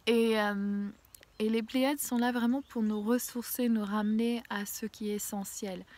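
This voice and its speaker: A woman with a head cold doing a casual spiritual card reading outdoors. She pauses often with fillers and sometimes drops to a hushed near-whisper. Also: a little high, steady tone. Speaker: oracle card reader. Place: outdoors in a small mossy spot with violets.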